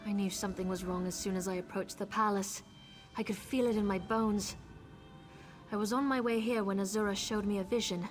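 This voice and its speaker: A woman's voice: medium pitch, fairly even intonation, brisk, music in the background, natural voice. Earnest elven seer woman played by an actress. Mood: earnest, explaining. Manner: earnest, serious. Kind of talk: video game dramatic acting.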